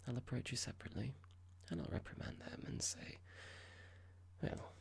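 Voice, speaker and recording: A performer playing a horrible, condescending young corporate boss in an ASMR roleplay, speaking in a breathy whisper with a measured, pompous, formal manner. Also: mid-range voice, sing-song, a little mellow. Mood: smug, stern. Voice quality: breathy, gravelly whisper with clicks.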